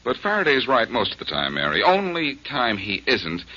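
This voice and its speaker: Wisecracking detective guy, lively bright voice, breathy edge, old lo-fi radio sound. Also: mid-range voice, normal speed, exaggerated voice. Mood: cool. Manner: dry, teasing wisecracks. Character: wisecracking reformed-thief detective, cool and dry. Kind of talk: 1940s radio drama acting. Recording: dull lo-fi 1940s radio broadcast.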